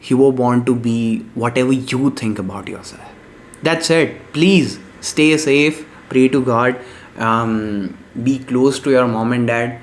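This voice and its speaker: A man's voice: mid-range voice, steady tone, slow pace, slight background noise. Earnest young man giving life advice. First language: Gujarati. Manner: earnest, preachy, repeats short punchy words. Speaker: self-help vlogger. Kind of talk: motivational monologue to camera.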